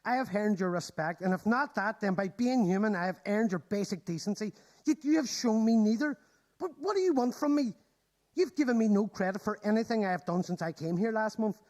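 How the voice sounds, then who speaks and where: Newcomer male detective, high-pitched, earnest, quick to bristle, radio play. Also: animated, a little mellow. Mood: indignant.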